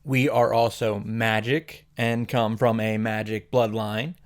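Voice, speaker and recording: A man's voice: lowish voice, level tone, slow pace. A man giving a comedic, irreverent recap narration as host, sarcastic and sweary, in punchy short sentences. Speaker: host of a visual novel summary series.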